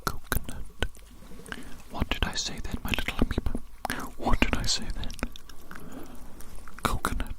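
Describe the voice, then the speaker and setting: An ASMR creator whispering through a roleplay hearing test, slow and repetitive, heaping praise on the listener. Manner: doting praise, calls the listener little amoeba. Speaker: ASMR creator playing an examiner.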